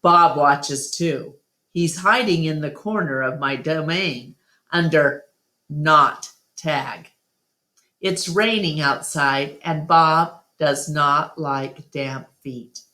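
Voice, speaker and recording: Slow, low-voiced woman reading a story. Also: bright, forceful tone. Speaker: storyteller reading a children's novel aloud. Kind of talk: scripted storybook narration.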